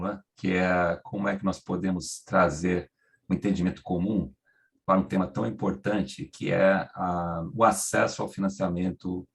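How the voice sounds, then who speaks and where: A man with a Brazilian accent giving an informal welcome at a webinar, halting and searching for words as he speaks. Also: deep voice, creaky. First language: Portuguese. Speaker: program director and webinar speaker.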